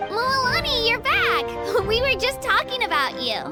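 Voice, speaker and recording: Earnest, high-pitched young girl. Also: sing-song, moderate pace, loud music behind the voice. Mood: delighted. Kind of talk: English game voice acting.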